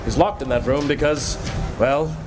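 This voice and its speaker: An actor playing a sinister, short-tempered master of a house in an old-time radio drama, commanding yet evasive. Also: male voice, medium pitch, steady tone, moderate pace, background noise, natural voice. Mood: grave, evasive. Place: live stage performance in a cafe.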